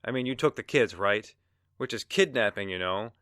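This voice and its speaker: A man acting a mouthy, sarcastic, excitable busybody in a pulp radio drama, needling in a colloquial working-class way. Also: on the low side, moderate pace, no echo, studio quality. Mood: sarcastic, accusing.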